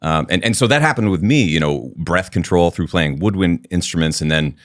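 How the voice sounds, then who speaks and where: A man speaking as a podcast guest in casual chat, with a naturally low voice and a measured, deliberate way of talking. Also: lively intonation, fairly bright tone, no room sound, clean studio sound.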